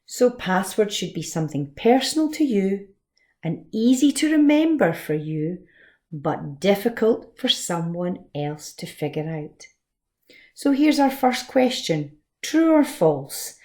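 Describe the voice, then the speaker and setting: A woman's voice: mid-range voice, big pitch swings, a little slow, darkish tone. A woman reading a children's story and explaining it expressively in simple terms, like a teacher. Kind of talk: children's story read-along and lesson.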